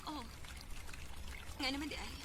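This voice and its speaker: A woman's voice: unhurried, natural voice. An actress with a Cebuano Bisaya accent playing a hurt, reproachful young student girlfriend in a radio drama. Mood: reproachful, resigned. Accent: Filipino, Cebuano Bisaya. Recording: very noisy audio with bird chirps.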